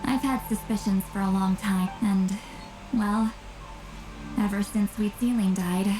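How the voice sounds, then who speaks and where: A woman's voice: medium pitch, steady tone, measured pace, close to an everyday voice. A woman voicing an aloof, reclusive, youthful but centuries-old shaman in a video game, dry, reserved and wistful. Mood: guarded, reflective. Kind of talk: scripted video game voice acting. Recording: roomy echo, game music behind.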